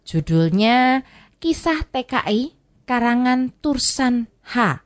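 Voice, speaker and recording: An actress playing an outspoken former migrant worker in an educational drama, colloquial. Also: female voice, a little high, very animated, slow pace. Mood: solemn recital. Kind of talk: scripted educational radio drama dialogue.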